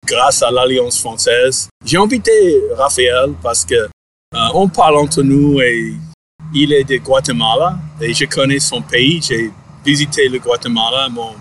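A man hosting a relaxed interview, talking in halting phrases full of filler sounds and quick chuckles. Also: medium pitch, slight background noise. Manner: hesitant, many filler sounds, chuckles often. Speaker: podcast host. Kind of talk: conversational interview.

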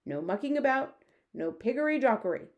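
Woman as friendly storybook giant, male, earnest, jumbled grammar, quirky words, lifted pitch. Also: big pitch swings, fairly quick, lo-fi sound. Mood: cautioning. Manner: muddled grammar and nonsense words.

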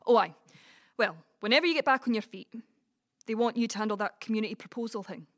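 A woman with a Scottish Dumfries accent acting in an audio play as a brash, gossipy, blunt friend with a soft side. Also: a little high, quick pace, close to an everyday voice. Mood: chatty. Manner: chatty, blunt, sweary. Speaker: community cast actor. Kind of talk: community audio drama, colloquial dialogue.

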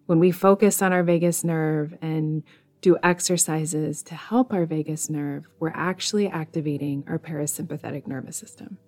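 A woman leading a guided meditation in a soothing, measured way, leaving long pauses between phrases. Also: deep voice, darkish tone. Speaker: meditation guide, podcast host.

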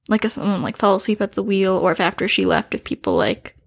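A teenage girl co-hosting a casual podcast with her dad, chatty and giggly, with quick asides. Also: medium pitch, big pitch swings, no echo, clean studio sound. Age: teens. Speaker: co-host, daughter.

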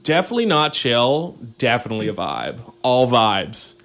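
Canadian guy on community radio, rambling and excited, lots of ums, regular-sounding voice. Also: mid-range voice, normal speed, creaky. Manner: rambling, filler-heavy, enthusiastic. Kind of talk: casual radio chat. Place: small radio studio.

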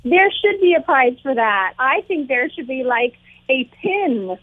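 A chatty woman co-hosting a talk show, bubbly and quick to laugh. Her voice sits a little high and sounds slightly husky, and it comes through a thin, tinny phone line. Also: sing-song. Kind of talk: casual on-air chat.

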